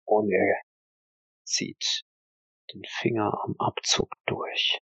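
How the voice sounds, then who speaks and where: A man's voice: fairly low pitch, fairly even intonation, extremely slow, a bit bright, breathy edge, no echo, highs cut off. A man playing in a roleplay audio drama, narrating his character's actions in improvised third-person phrases.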